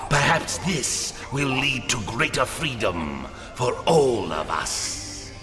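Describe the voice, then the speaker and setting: An actor playing a grave, diplomatic reptilian ambassador in a video game, with weighty, formal speech. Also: male voice, medium pitch, very animated, takes it slowly. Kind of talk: scripted game voice acting. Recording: long reverb, music behind.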